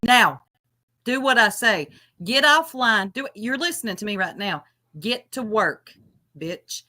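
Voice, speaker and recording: A woman's voice: a little high, animated, a little slow, pushed and bright, no echo, clean studio sound. A woman hosting a casual panel chat, mocking and taunting someone with frequent laughter. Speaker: host.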